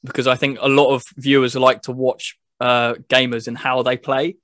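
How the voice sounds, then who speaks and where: A man's voice: mid-range voice, little pitch movement, normal speed, fairly bright tone, no room sound, clean studio sound. A man hosting a podcast, explaining things in a casual gaming chat in loose, everyday wording. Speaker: podcast host reviewing a video game.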